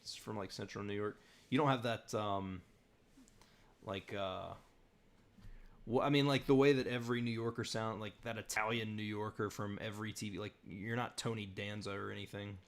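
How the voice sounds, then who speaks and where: A man's voice: on the low side, fairly even intonation, moderate pace. A man from the southern United States hosting a casual livestream Q&A, chatty and rambling, laughing often. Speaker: host.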